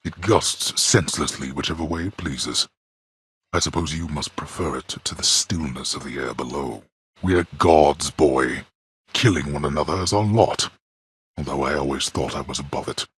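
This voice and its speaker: Super deep husky underworld god, cold and formal, bossy, dry studio sound. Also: male voice, moderate pace, fairly bright tone, no echo, full character voice. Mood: cold stern. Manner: cold, formal, commanding; bellows when summoning. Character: stern, imperious male god of the underworld, a father. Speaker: boss character in a video game. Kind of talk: studio game voice acting.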